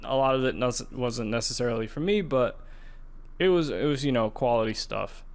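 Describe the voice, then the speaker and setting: A man with a smooth, clear voice at an average pitch hosts a gaming podcast. He talks casually and conversationally, feeling out his sentences and often repeating a phrase before moving on. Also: normal speed. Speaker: podcast host. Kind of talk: casual gaming news discussion.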